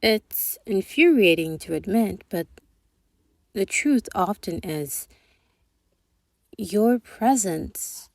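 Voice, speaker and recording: Brief: cool, exacting female thief boss in a soft ASMR roleplay; formal, deliberate, lower register, whispering at times, voiced by a young woman. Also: creaky, no room sound, heavily acted. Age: young adult. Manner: measured, precise, formal scolding. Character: stern, calculating female thief boss, secretly tender.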